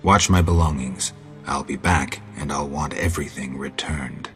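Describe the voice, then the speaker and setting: An actor playing a gruff, laconic monster-hunting mercenary in a video game, speaking tersely and dryly with a gravelly, husky voice. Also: male voice, very deep voice, normal speed, over loud music. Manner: terse, dry, deadpan. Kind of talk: video game character acting.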